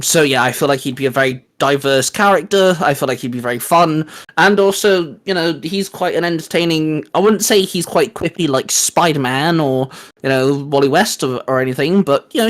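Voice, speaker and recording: A man with a slightly high voice co-hosts a casual geek chat. He rambles along in a chatty, hesitant fashion, like a fan talking comics with a friend. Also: brisk, fairly bright tone. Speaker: co-host of a geek discussion channel.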